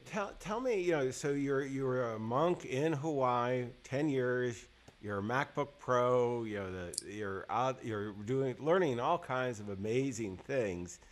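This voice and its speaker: A man of middle pitch who hosts the show, circling his questions with fillers and restarts before landing them. He comes through over a video call. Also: lively intonation. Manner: rambling, halting questions full of fillers. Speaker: podcast host. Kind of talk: interview questions.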